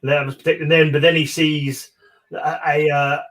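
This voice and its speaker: A man talking casually about a story as a co-host, hesitant and halting as he searches for words. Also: a little high, level tone, a bit bright. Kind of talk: casual book discussion.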